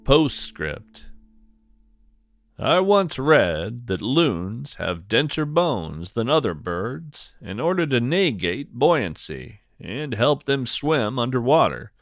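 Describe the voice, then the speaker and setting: A man's voice: medium pitch, sing-song, takes it slowly, dry recording, studio quality, soft background music. A man playing a whimsical, earnest naturalist who muses with folksy wonder in a first-person field-journal audio drama. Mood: musing. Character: whimsical, earnest male naturalist who hunts strange cryptids. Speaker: podcast host and narrator.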